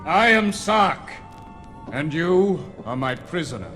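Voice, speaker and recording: A man's voice: on the high side, very animated, takes it slowly, music in the background. A man playing a fierce, commanding warrior villain on a read-along record, making menacing proclamations.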